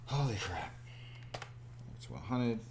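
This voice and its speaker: Animated low-voiced man thinking aloud. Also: slow pace, noisy background. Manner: self-deprecating, muttering to himself, exclaiming and chuckling. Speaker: indie game developer and animator streaming his work. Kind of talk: casual devlog chat while drawing, thinking aloud.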